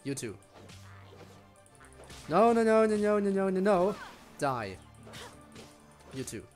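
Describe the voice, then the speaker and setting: A man's voice: sits high, big pitch swings, takes it slowly, a little mellow, soft background music. A man streaming a video game with casual commentary and wry, muttered asides to himself. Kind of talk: casual live gameplay commentary.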